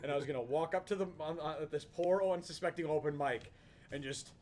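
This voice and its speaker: A man's voice: on the high side, a little fast, a crowd in the background. A man joking and bantering with his co-hosts in casual chat, with frequent false starts. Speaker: show regular.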